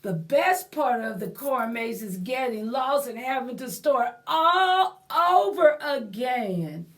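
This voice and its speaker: Slow, high-pitched woman reading to children. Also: expressive. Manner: explains words and prompts young listeners. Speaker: library storytime reader. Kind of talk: children's picture book read-aloud with asides.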